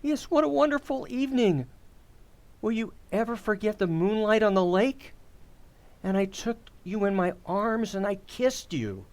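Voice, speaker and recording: A man acting a bumbling, forgetful, excitable husband and father in an old-time radio comedy, with a flustered, stammering delivery. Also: high-pitched, a little slow. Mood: excited, flustered. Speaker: amateur actor.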